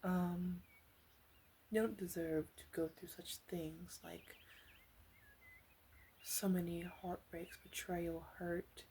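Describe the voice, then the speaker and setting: A woman playing a caring, teasing witch in a soft ASMR roleplay voice that slips into whispers. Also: fairly low pitch, steady tone, slight background noise. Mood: tender, consoling. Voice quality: soft, breathy, slipping into whisper. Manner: gentle, unhurried, playfully teasing. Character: female witch, flirty, caring, teasing potion seller.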